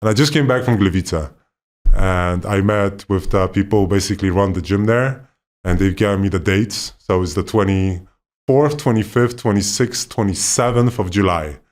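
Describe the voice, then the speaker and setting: A man from Poland co-hosting a casual podcast, talking in a relaxed, rambling and enthusiastic way. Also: lowish voice, normal speed. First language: not Polish; left Poland at five. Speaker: podcast co-host, former basketball player.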